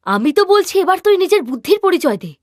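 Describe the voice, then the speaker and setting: A woman's voice: very high-pitched, very animated, fast, no room sound, studio quality, voice pushed up. A woman voicing a vain young elder sister in a cartoon, bossy and dismissive, sprinkling in English slang. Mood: scornful, boastful. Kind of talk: cartoon character dialogue.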